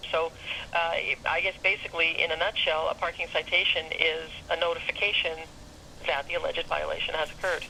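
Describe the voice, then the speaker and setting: Male office clerk, careful and procedural, very bright gravelly voice, recorded over a telephone with faint noise. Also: on the high side, little pitch movement, a little fast, low-quality recording. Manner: careful, procedural, repeats and corrects terms. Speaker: parking citation administration clerk. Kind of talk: explaining procedure on a phone call.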